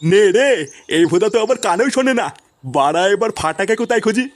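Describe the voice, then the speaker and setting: High-voiced, fretful old grandpa played by a man. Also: lively intonation, quick pace, dry recording. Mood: cross. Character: doting, fretful old grandfather who curses when cross. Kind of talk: comic cartoon dialogue. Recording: clearly noisy background.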